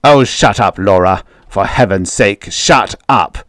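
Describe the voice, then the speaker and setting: A man playing a domineering father in a story, harsh, forceful and impatient. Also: on the low side, unhurried, dry recording, clean studio sound. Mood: bitter anger. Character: stern, angry, domineering father and robot maker.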